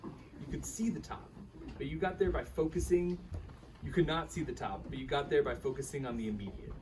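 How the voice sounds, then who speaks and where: A man's voice: a little high, brisk, lightly acted. A man playing an earnest, bemused karate student telling his partner a story in a live radio play reading. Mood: earnest storytelling. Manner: animated, chuckling, quotes his teacher's dialogue. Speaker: reader in a staged radio play. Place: live reading at a bookshop event. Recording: distant microphone with faint room noise.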